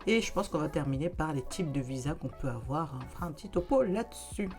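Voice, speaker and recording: A woman's voice: low voice, lively intonation, fast talker, a little mellow, soft background music. A young adult woman hosting a casual vlog, explaining things in a chatty way straight to her viewers. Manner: chatty, conversational, addresses viewers directly. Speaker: YouTuber giving practical advice.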